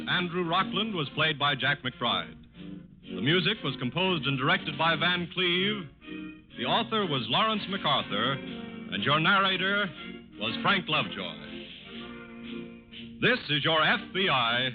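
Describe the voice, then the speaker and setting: A man with an American accent doing scripted 1940s radio announcing in a formal, measured, solemn way. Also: a little high. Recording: dull lo-fi 1940s radio recording.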